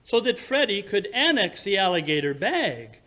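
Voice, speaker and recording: A man playing a superior, impatient gentleman detective in a radio drama, speaking precisely and with condescension. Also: sits high, big pitch swings, normal speed, full character voice. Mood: lecturing, superior. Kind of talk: 1940s radio drama acting.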